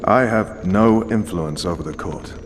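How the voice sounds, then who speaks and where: An actor playing a hard-bitten special forces commander in a fantasy video game, stern, blunt and dry in manner. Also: male voice, sits low, measured pace, noisy background. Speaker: interrogator and military commander.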